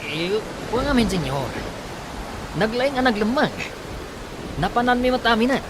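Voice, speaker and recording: A man's voice: very high-pitched, very animated, moderate pace, background noise. An actor playing a deferential, anxious underling pleading with his master in a Philippine radio drama.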